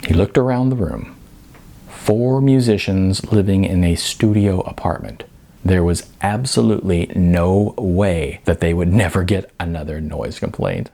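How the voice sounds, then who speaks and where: A man's voice: low-pitched, measured pace, gravelly, mellow. A man who is an author reading his own novel, narrating expressively with dramatic emphasis. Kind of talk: animated audiobook-style narration.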